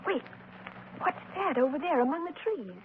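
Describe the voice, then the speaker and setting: A woman's voice: high-pitched, lively intonation, moderate pace, narrow, tinny audio. An actress playing an anxious, devoted lady companion to a sleuth, worried and theatrical, in 1930s radio drama style. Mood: uneasy. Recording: thin, hissy 1930s radio broadcast.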